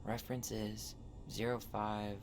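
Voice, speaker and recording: A man's voice: fairly low pitch, flat delivery, measured pace, in a large hall, noisy background. An actor playing a sardonic young American man in a video game, speaking in a casual, sarcastic way. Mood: focused, wry. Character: sardonic young man, searching police records, game character. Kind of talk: naturalistic video game dialogue acting.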